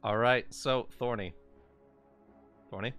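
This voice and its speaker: A man's voice: mid-range voice, fairly even intonation, slow, fairly bright tone, smooth, faint music behind. A man acting as game master, chatting casually and hesitantly as he runs a tabletop game. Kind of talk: casual game-running talk.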